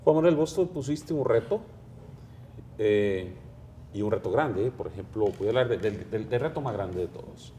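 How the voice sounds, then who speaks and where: A man's voice: lowish voice, animated, moderate pace, dark tone, a little hiss or hum. A man with a Costa Rican accent hosting a TV studio interview, asking questions animatedly with hesitant fillers. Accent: Costa Rican Spanish. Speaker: TV host.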